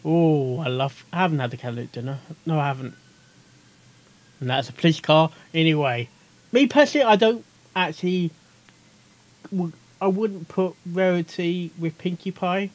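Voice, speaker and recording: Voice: medium pitch, normal speed, darkish tone. A reaction video host giving casual commentary, rambling, giggly and often repeating phrases.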